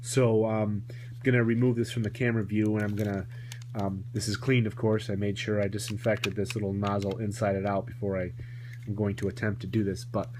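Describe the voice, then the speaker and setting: A man's voice: fairly low pitch, level tone, brisk, a little mellow. A man giving a casual, rambling hands-on toy review, often correcting himself as he goes. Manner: rambling, self-correcting asides. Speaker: toy collector reviewing a vintage toy. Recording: noisy home recording with electrical hum.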